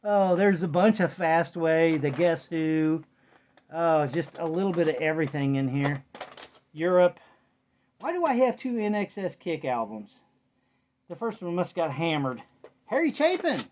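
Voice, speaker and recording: A middle-aged American man with a fairly high voice talks off the cuff in a folksy, rambling way. He mutters to himself as he goes, in a home recording with some background noise and clicks. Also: sing-song, measured pace, a little mellow. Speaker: vlogger showing a cassette collection. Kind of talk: casual unscripted chat.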